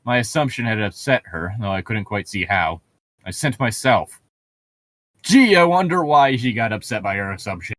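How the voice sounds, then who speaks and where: Male co-host, fairly deep, dry sound. Reads prose casually with irreverent, snarky, sweary asides. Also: expressive, normal speed, fairly bright tone, dry recording. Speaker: co-host reading a novel aloud with commentary. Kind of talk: reading aloud with casual joking commentary.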